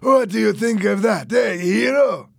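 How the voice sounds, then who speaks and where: A man voices a menacing henchman in a storybook thriller. He barks orders and mocking threats at a raised pitch. The sound is close and very dry. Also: unhurried, creaky, no room sound. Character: cruel, menacing henchman with a rifle, obedient to boss.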